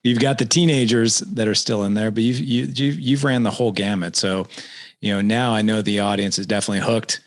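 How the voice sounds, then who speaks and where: A man's voice: lowish voice, fairly quick. A man in his forties hosting a podcast interview, chatting casually and energetically, often repeating words. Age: 40s. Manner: energetic, repeats words, asks leading questions. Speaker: podcast host.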